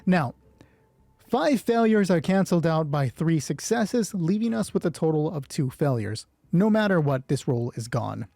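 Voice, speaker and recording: Mellow, slightly husky male game master. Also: fairly high pitch, animated, moderate pace, dry recording. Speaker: solo roleplay game master and host. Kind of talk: tabletop roleplay narration mixed with casual rules explanation.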